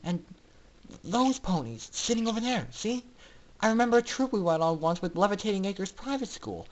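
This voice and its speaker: A man voicing a young female pony student, subdued and fearful, speaking in a soft, hesitant hush for a story reading. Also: high-pitched, very animated, normal speed, pitched above the performer's own voice, poor audio. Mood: shocked. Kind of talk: dramatic fan fiction reading.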